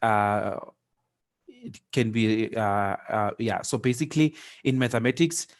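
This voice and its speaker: A man's voice: medium pitch, unhurried. Hesitant man teaching maths. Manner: hesitant, with many fillers and restarts. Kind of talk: online maths lesson.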